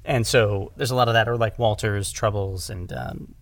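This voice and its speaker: A man's voice: on the low side, fairly even intonation, moderate pace. A man talking casually as a podcast guest about his work as a writer and director, in a rambling way, repeating words for emphasis. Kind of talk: casual conversational discussion.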